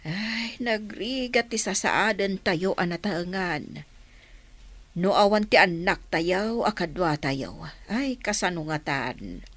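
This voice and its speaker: A woman's voice: fairly low pitch, expressive, takes it slowly, no room sound. An actress playing a resigned, affectionate older woman in a radio drama, with a sighing, plaintive manner.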